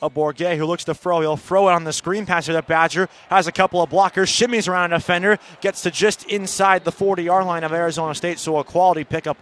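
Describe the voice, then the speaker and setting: Higher-pitched male football play-by-play announcer. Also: fairly even intonation, fast, few pauses, fairly bright tone. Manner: clipped descriptive calls that swell on big plays. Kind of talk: live college football play-by-play commentary.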